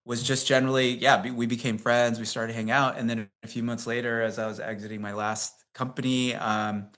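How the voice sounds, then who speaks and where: A man's voice: medium pitch, little pitch movement, brisk, a bit bright. A man in his fifties without an accent, a guest telling long anecdotes in a casual interview, full of fillers like "like" and "um". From United States. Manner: chatty, rambling anecdotes with frequent fillers and laughs. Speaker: guest, tech company CEO.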